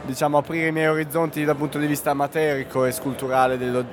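A man's voice: a little high, little pitch movement, very fast, over music. A man from Italy with an Italian accent, an art student describing his studies and his work with materials, searching for words as he goes. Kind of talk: personal interview answers. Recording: crowd noise around.

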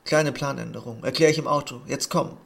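A man's voice: medium pitch, fairly quick, creaky, a bit bright. A man reading out the lines of an eager young man who is hurrying a friend along in a story. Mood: urgent.

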